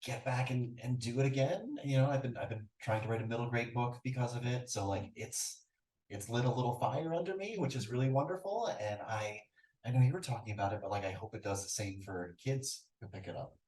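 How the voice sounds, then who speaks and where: A man's voice: mid-range voice, brisk, darkish tone. A man on a writers' panel answers in a casual, chatty way, full of "like". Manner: casual, chatty, many "like" fillers. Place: event hall. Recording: roomy echo, distant mic.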